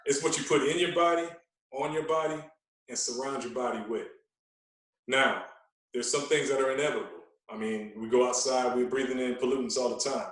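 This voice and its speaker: A man talking as a podcast guest, explaining things in an earnest, deliberate, conversational way with emphatic repetition. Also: medium pitch, fairly even intonation, a bit bright. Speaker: podcast guest, radio DJ and fitness coach. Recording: roomy echo, distant from mic.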